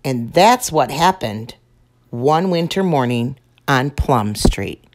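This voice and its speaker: A woman reads a picture book aloud to children at an unhurried pace. Her voice is low for a woman, gentle and expressive. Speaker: storyteller.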